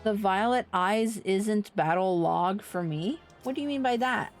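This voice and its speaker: A woman's voice: mid-range voice, a little slow, soft background music. A woman giving casual, playful commentary on a gaming livestream.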